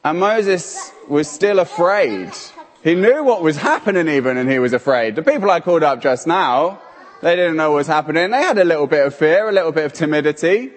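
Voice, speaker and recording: Animated, playful male preacher in a hall. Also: fairly high pitch, fairly quick. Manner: playful, animated, calls on people. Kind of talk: interactive sermon. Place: church hall. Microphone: handheld microphone. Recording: hall reverb.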